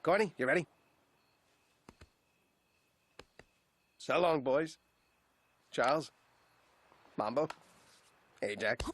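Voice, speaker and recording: A man's voice: high voice, big pitch swings, moderate pace, pushed and bright, slightly rough, exaggerated voice. A man voicing a whining, explosive cartoon duck, a detective and father given to constant griping. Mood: mock solemn. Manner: whining, belly-aching, explosive complaining. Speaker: private detective. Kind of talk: animated comedy acting.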